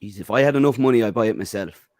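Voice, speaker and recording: A man's voice: medium pitch, rapid-fire, a little mellow, dry recording, studio quality. An Irish man on a football podcast panel, giving firm opinions with lots of "um" and "you know". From Ireland. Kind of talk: casual football podcast chat.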